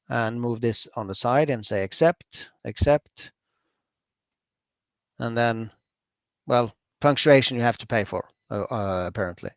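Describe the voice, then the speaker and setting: A man from Norway with a Norwegian accent, talking through a software demonstration for a user group. His voice sits at an ordinary middle pitch and is recorded dry and close. He keeps things loose and chatty, full of fillers and quick self-corrections. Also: no room sound. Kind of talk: screen-share software demo.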